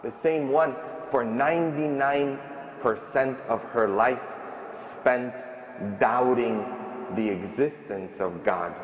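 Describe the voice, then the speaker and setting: A man in his thirties preaching a sermon as a priest, slow and emphatic, in a large stone church at a stand microphone. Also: high voice. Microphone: stand mic at ambo. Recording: echoey church, distant dull sound.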